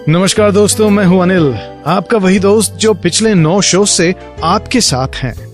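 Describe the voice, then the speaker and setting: A man's voice: sits high, moderate pace. A man hosting a radio show, addressing listeners as friends in a scripted introduction. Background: faint music.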